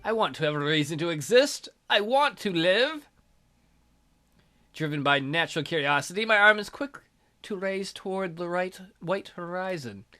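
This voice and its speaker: A man hosting a let's play, reading a visual novel aloud in a theatrical, expressive way. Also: high-pitched, normal speed. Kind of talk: dramatic read-aloud of a visual novel, with casual asides. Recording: music behind the voice.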